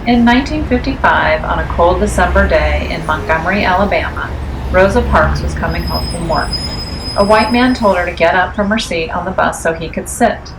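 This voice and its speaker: Low-pitched woman reading a picture book. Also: moderate pace, background noise. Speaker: read-aloud storyteller. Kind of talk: scripted children's picture book narration. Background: music behind the voice.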